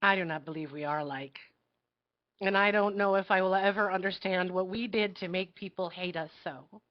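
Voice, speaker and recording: A woman playing an earnest, fearful, idealistic young girl in a live table read of a satirical play, with a halting, pleading delivery. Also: lowish voice, steady tone, natural voice. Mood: resolute. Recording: dull lo-fi audio.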